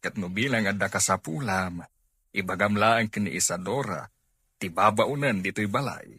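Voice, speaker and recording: A man's voice: fairly low pitch, moderate pace, pushed and bright, husky, no room sound. A man with an Ilocano accent acting a kind, well-off farmhouse owner in a radio drama, earnest and caring.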